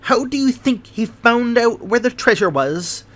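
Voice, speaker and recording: A man voicing an excitable, fragile hypochondriac gentleman who chatters eagerly in a high voice, in a storybook reading. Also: unhurried, exaggerated voice. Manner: high voice rising above the noise, eager chatter.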